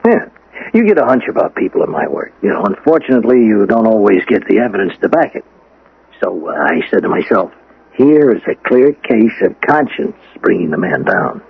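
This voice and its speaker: An actor playing a shrewd police lieutenant in an old-time radio drama, casually probing with sly, deliberate hesitations. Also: male voice, on the low side, very animated, gravelly, breathy edge, full character voice. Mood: sly, musing. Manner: hesitant, folksy, slyly deliberate. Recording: muffled vintage radio broadcast recording.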